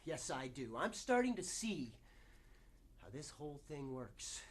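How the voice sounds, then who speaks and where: A man performs a frayed, struggling actor in a theatrical monologue, pleading and bargaining. His pitch swings widely as he moves between hushed confession and outburst. He keeps interrupting himself, jittery and desperate. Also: sing-song, unhurried, slightly rough, heavily acted. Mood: contrite, restrained.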